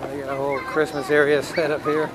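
A man's voice: on the high side, fast talker. A man casually narrating a walking tour of an outdoor waterfront plaza, filming on a handheld camera. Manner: relaxed, offhand commentary while walking. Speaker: travel vlogger. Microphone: handheld camera mic. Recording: noisy outdoor camera audio.

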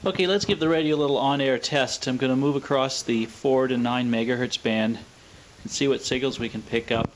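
A man presenting scripted technical narration in a measured, matter-of-fact manner. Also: mid-range voice, steady tone, slight background noise, low-quality recording. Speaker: presenter of a vintage radio demonstration.

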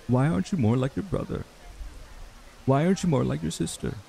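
A man acting a stressed, earnest young runaway in an intimate audio roleplay, speaking haltingly with sighs. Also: a little high, gravelly, dark tone, rough recording. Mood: frustrated, venting. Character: stressed young man, frustrated runaway reuniting with childhood friend.

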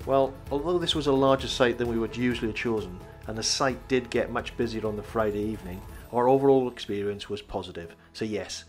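A man reading a scripted campsite review voice-over in an even, measured way. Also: mid-range voice.

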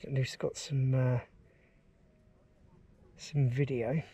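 A man's voice: mid-range voice, slow, breathy edge. A man in his forties on a river in a kayak, talking casually and with enthusiasm to a camera mounted on the boat, with plenty of ums and uhs. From England. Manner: enthusiastic, relaxed, with many fillers. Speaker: outdoors vlogger. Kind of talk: casual vlog narration. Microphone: action camera mounted on the kayak.

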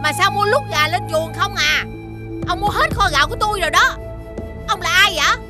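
A woman voicing a bossy, suspicious, sharp-tongued rice merchant in an animated fairy tale, haughty and interrogating. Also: very high voice, brisk, over loud music.

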